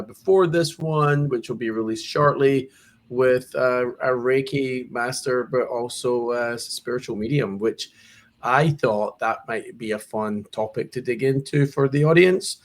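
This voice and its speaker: A man's voice: medium pitch, steady tone, measured pace. Guy hosting a podcast, middle voice, lots of restarts and uhs. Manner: conversational, with repeated restarts and "uh" fillers. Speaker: podcast host. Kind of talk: casual interview.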